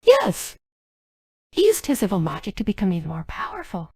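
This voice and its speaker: Husky synthetic genie girl, acted by a woman with swooping pitch. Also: wildly swooping pitch, normal speed, darkish tone, no room sound, studio quality. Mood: grave, pleading. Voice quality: synthetic text-to-speech voice. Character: earnest, formal young female genie of a magic ring. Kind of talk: dubbed game cutscene dialogue by text-to-speech.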